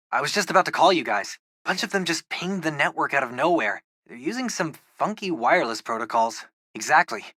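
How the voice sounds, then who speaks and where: A man with an American accent voicing a geeky, excitable teenage boy, a loyal superhero's tech sidekick in a video game, talking informally and eagerly. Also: on the high side, dry recording, clean studio sound. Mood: focused, curious. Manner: informal, eager, nerdy tech talk with quips. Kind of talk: video game voice acting, casual radio-style chatter.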